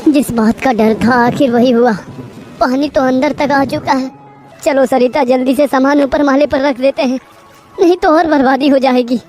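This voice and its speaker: Earnest, troubled village farmer and father, played by a woman, plaintive storybook drama, high pitch over music. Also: steady tone, normal speed, darkish tone, lightly acted. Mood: worried, urgent. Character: troubled poor male farmer, husband and father.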